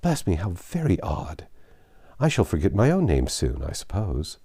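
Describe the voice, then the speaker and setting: A man's voice: deep voice, huge pitch swings, moderate pace, warm tone, husky, heavily acted. A man voicing a chatty, flustered, deferential older lawyer who rambles eagerly in a classic novel reading. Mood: flustered, searching. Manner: rambling, eager, self-interrupting.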